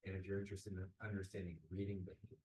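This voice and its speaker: A man making a deliberate, measured comment in an academic discussion, searching for his phrasing. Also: low-pitched, monotone, a little mellow. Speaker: academic audience member posing a question. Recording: roomy echo with clear background noise.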